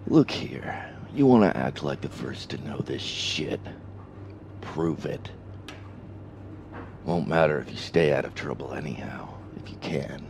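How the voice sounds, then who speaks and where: A man acts out a spaceport security boss with a very deep voice. He is stern and suspicious, speaking slowly with menacing authority. Ambient noise sits behind him in this sci-fi audio drama. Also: lively intonation, gravelly, a little mellow, exaggerated voice. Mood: warning. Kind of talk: science fiction audio drama acting.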